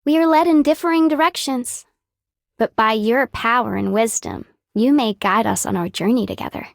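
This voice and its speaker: A woman's voice: fairly high pitch, moderate pace, gravelly, no room sound, studio quality. A woman voicing a solemn, reverent young mystic in a video game, speaking in formal, archaic phrases. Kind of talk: video game character voice lines.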